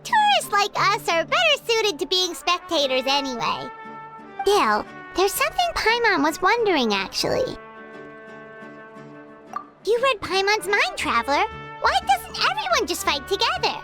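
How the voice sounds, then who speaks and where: A very high, squeaky little fairy companion, cheerful and curious. The delivery is chirpy and swoops wildly, in video game style, over prominent music. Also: female voice, huge pitch swings, measured pace, full character voice. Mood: excited, curious. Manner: speaks of herself in third person, chirpy. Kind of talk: English game voice acting.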